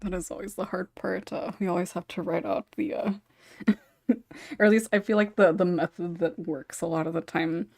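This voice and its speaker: A woman's voice: fairly low pitch, steady tone, normal speed, darkish tone. A woman chatting casually as a podcast panelist, informal and hedging as she explains her thoughts. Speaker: podcast panelist, script writer. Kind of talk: casual chat.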